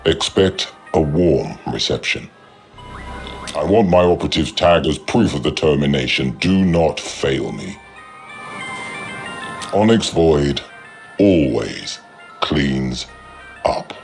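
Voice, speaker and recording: An actor playing a haughty, menacing alien crime boss in a video game, with a gravelly voice and cold, deliberate threats. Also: male voice, a bass voice, expressive, soft background music, full character voice. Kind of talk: video game cutscene acting.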